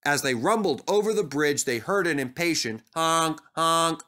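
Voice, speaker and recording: A man narrating a scripted children's story as an animated storyteller. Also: on the high side, moderate pace.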